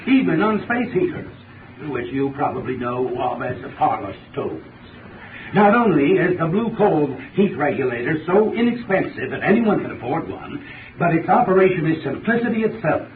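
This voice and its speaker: An earnest, formal heating expert played by a man gives a scripted 1930s radio advertisement. His voice is a little high. It comes through echoey and tinny, over clear noise. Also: distant mic, natural voice. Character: courteous male heating expert giving a sales talk.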